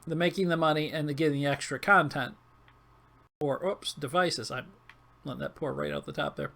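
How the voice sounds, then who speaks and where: A man with a coarse, hoarse, failing voice giving casual, halting commentary over gameplay. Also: a little high, fairly even intonation, bright, forceful tone. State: hoarse, losing his voice. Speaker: gaming video host.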